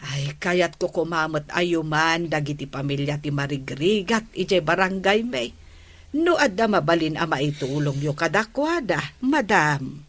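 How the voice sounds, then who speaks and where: A woman playing a village captain's wife in an Ilocano comedy drama, purposeful and measured. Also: low-pitched, expressive. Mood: earnest, polite. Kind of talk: Ilocano radio-style comedy drama acting.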